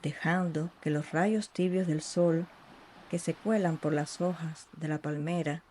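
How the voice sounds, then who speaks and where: A meditation guide speaking in a soothing, unhurried way with long pauses between short phrases. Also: mid-range voice, steady tone, darkish tone, a little hiss or hum. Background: ambient sea sounds.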